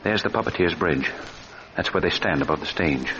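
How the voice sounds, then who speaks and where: An actor playing a suave, self-assured amateur detective in a 1940s radio mystery, speaking crisply and with command. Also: male voice, a bass voice, lively intonation, normal speed. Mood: urgent, probing. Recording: dull, noisy old radio recording.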